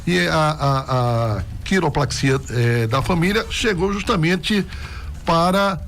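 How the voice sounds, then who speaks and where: A man's voice: on the high side, slow, fairly bright tone, a little hiss or hum. A man with a Northeastern Brazilian accent hosting a casual radio interview in a chatty, folksy way, often checking for agreement with tag questions. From Pernambuco, Brazil. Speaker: radio host interviewing guests. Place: radio station studio. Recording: music behind the voice. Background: music.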